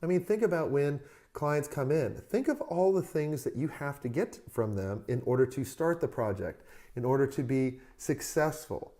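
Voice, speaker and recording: A man hosting a solo advice podcast, his voice still hoarse as it recovers, coaching listeners in an upbeat, direct way. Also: a little high, animated, moderate pace, dark tone. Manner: upbeat motivational coaching, talks straight to listeners. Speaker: podcast host.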